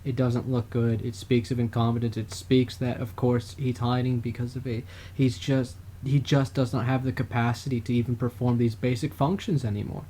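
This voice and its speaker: A man's voice: mid-range voice, little pitch movement, normal speed, few pauses, dark tone, a little hiss or hum. A man co-hosting a political talk podcast, chatting casually and mocking opponents in a raised voice. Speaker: podcast co-host. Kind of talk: political talk show chat.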